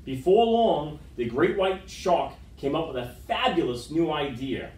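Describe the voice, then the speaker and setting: A middle-aged man reading a children's picture book aloud with dramatic, expressive storytelling, recorded indoors by a camera across the room. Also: fairly high pitch, a little slow. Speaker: storytime reader. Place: school room with wood-panelled walls, indoors. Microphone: far camera microphone. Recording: roomy, distant camera microphone.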